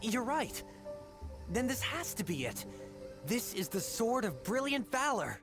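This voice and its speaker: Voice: mid-range voice, sing-song, a little slow. An actor playing an eager, earnest young man who hunts legendary weapons, over game music.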